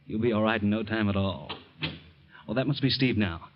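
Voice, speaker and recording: A man's voice: lowish voice, fairly quick, close to an everyday voice. A man playing an earnest, caring ex-convict in an old-time radio drama. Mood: tender, soothing. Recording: muffled, faintly noisy old radio recording.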